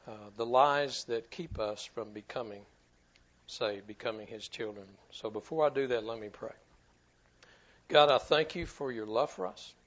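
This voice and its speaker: A man's voice: mid-range voice, highs cut off. A man preaching a sermon to his church in a folksy, repetitive way, often stumbling over his words. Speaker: pastor.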